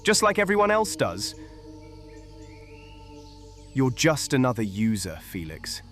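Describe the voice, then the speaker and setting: Animated roguish sellsword played by a man. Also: medium pitch, slow, soft background music, full character voice. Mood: hurt, indignant. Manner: cheeky, dramatic, emotionally swinging. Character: roguish, wounded, theatrical male sellsword and treasure hunter. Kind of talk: fantasy audio roleplay acting.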